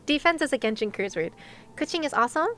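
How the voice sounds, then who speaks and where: Playful high-voiced woman streaming a game. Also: a bit bright. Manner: giggly, playful, chatty. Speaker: game livestreamer. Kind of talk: casual livestream chat while gaming, talking to viewers.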